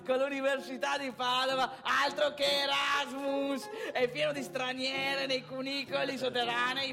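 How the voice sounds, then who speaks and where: A woman playing an excitable, crude, boastful provincial man in a live comic theatre monologue, repetitive and chatty. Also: high voice, vocal fry. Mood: excited bragging. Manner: repetitive, emphatic, chatty, addressing listeners directly. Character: excitable, crude, boastful male strip-club regular from provincial northeast Italy.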